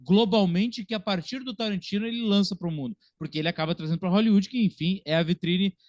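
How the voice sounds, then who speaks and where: A man with a high-pitched voice talks casually about cinema as a podcast host. He explains his points conversationally, and the sound is very dry and close, free of room echo. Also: fast talker, muffled recording. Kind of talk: casual explanatory film discussion.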